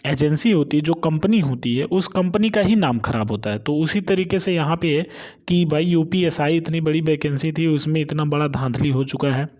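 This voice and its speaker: A man speaks in a slightly high voice. He talks informally as a channel host, explaining exam updates point by point and checking in with listeners at the ends of phrases. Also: moderate pace, a little mellow.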